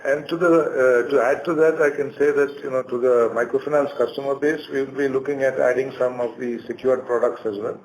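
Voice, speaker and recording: A man's voice: mid-range voice, fairly even intonation, fairly quick, few pauses. A man with an Indian accent speaking as a bank executive, answering questions on an earnings call. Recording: faintly noisy conference call.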